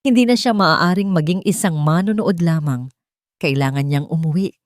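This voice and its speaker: A woman's voice: on the low side, normal speed, no echo, clean studio sound. A woman reading a scripted dramatic story as its narrator. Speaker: narrator of romantic drama stories.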